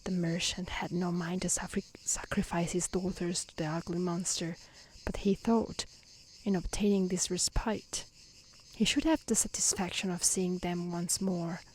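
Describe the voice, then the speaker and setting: A woman reading a fairy tale aloud in a soft, near-whispered ASMR style, gentle and calm. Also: fairly low pitch, a little hiss or hum, poor audio. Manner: gentle, calm, unhurried delivery. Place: outdoors in nature.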